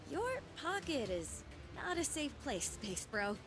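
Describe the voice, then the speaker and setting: A woman's voice: high-pitched, wildly swooping pitch, slow pace, music in the background, full character voice. A woman playing a cool, stern, sardonic warrior in a video game cutscene.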